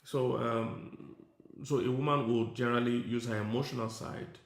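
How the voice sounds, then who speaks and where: Male pastor, middle-aged, gravelly voice, conversational sermon, echoey room. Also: medium pitch, fairly even intonation, normal speed. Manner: conversational, stops to talk to his tech team. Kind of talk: sermon teaching.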